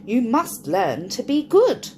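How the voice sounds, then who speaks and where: A woman's voice: high voice, very animated, slow pace, gravelly, darkish tone, soft background music, pitched above the performer's own voice, exaggerated voice. A woman voicing a small, wise green cricket who firmly scolds a naughty puppet in a storybook reading. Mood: scolding. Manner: firm, scolding.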